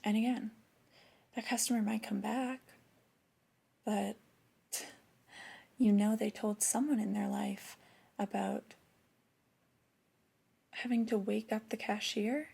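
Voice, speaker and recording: A woman playing a flirty, disappointed store boss in a soft-spoken ASMR roleplay, breathy and often whispering, with a slow, intimate scolding manner. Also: medium pitch, fairly even intonation, exaggerated voice. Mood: reproachful, skeptical. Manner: slow, deliberate, intimate reprimanding.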